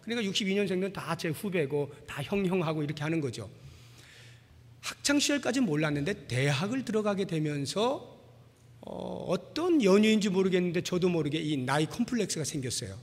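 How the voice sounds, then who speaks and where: A man's voice: sits high, expressive, fairly quick. A man in his forties preaching a sermon as a pastor, telling personal anecdotes in a conversational, self-deprecating way. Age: 40s. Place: large reverberant church hall. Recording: long hall reverb.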